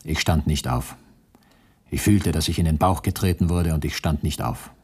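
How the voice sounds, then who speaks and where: A man's voice: very deep voice, normal speed, darkish tone, husky, exaggerated voice. An actor playing a male camp survivor who recounts his ordeal soberly and precisely in a radio drama monologue. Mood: bleak, sober.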